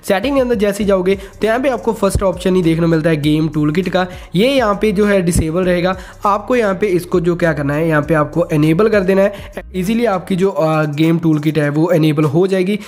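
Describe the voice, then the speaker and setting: A man's voice: high voice, darkish tone. A man hosting a casual step-by-step tech tutorial, mixing English words and stock phrases into his speech.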